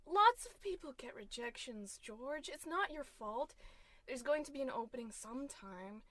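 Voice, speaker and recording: A woman's voice: high voice, expressive, moderate pace, a bit bright, no echo. A woman playing a long-suffering wife in an old-time radio comedy, coaxing yet tart and dryly exasperated. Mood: consoling.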